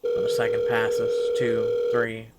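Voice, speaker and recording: A man reading a visual novel aloud as first-person dramatic narration, halting now and then over the words. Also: fairly low pitch, completely flat delivery, heavy background noise. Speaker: game player reading the text aloud.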